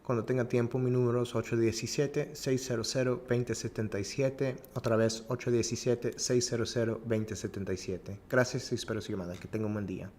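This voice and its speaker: A man's voice: medium pitch, fairly even intonation, fast, few pauses, a little mellow, slight background noise. A man working as a real estate agent, making polite follow-up calls and talking through his day, switching between English and Spanish as a learned second language. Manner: polite and courteous, says ma'am.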